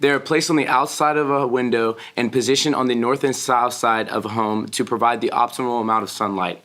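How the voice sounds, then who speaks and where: Young man reading a pitch carefully. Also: fairly even intonation, fairly quick, fairly bright tone. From Texas. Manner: careful reading with small self-corrections. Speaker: student presenter. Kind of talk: reading a prepared presentation.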